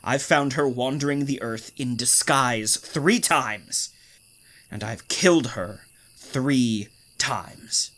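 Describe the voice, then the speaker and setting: An actor playing a deranged, obsessive hotel keeper in a radio thriller, halting and softly insinuating with mock concern. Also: male voice, medium pitch. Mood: unhinged, brooding. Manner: halting, softly insinuating, wide emotional swings. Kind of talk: old-time radio thriller acting.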